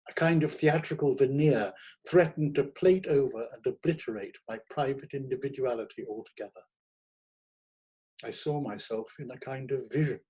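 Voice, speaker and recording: A man narrating a literary short story in a theatrical, expressive manner. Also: medium pitch, normal speed, breathy edge, rough recording. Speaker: reader of short stories.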